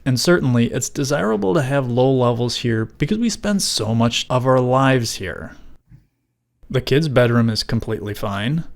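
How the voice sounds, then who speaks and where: A middle-aged man narrating a scripted explainer in measured, formal wording with dry, wry asides. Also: mid-range voice, vocal fry. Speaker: video creator and narrator.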